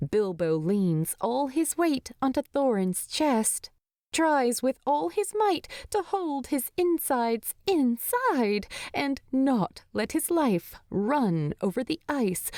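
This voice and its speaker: A woman narrating a story from a script in an expressive storytelling style. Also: high voice, dry recording, clean studio sound. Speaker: fan fiction narrator.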